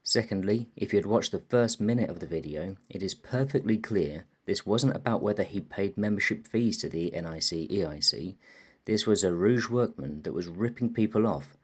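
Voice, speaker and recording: A man voicing a pedantic commenter who corrects someone point by point, in a stern, husky voice. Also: deep voice, moderate pace.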